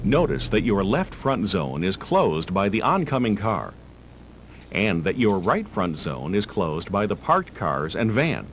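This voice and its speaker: A man reading scripted driver-education narration in a measured, instructional manner. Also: fairly low pitch, very animated. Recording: hum and hiss of old tape.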